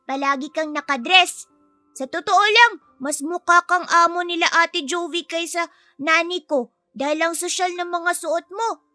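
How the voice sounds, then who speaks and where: A woman's voice: very high voice, sharp tone, no room sound, voice pushed up. A woman voicing a cheeky, sweet, outspoken young child in an audio story, with a chirpy, teasing way of talking. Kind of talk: acted audio story dialogue.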